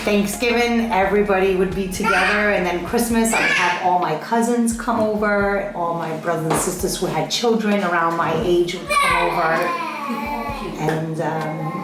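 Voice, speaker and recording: A woman's voice: fairly low pitch, moderate pace, heavy background noise. A woman in her forties casually sharing childhood memories as an interviewee. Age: 40s. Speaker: interviewee, youngest sister. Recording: roomy echo with music underneath. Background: music.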